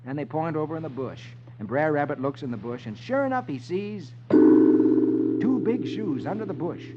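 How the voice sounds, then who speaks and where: A man's voice: on the high side, moderate pace, slight background noise. A man hosting a 1950s children's radio show, telling stories from the funny pages in a theatrical way. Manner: animated, theatrical. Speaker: host reading the funny pages. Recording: muffled 1950s radio recording, music behind.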